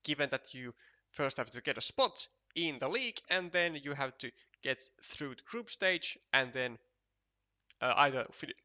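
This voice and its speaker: Slow, bright-voiced Finnish commentator. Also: male voice, a little high, lo-fi sound. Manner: unhurried, chatty, full of fillers and soft laughs. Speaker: match commentator. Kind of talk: casual live-stream game commentary.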